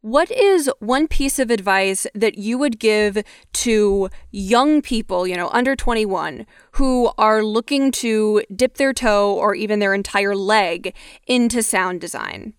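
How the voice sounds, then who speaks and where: A woman's voice: medium pitch, lively intonation, slow, clear, resonant tone, no echo, clean studio sound. A woman hosting a podcast, reading scripted narration and asking interview questions. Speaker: podcast host.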